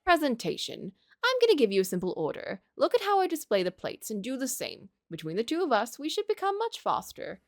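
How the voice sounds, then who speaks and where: A woman's voice: fairly high pitch, huge pitch swings, brisk, few pauses, no room sound, clean studio sound. Woman playing a hearty, bossy head cook. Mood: brisk, bossy. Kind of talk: visual novel character read aloud.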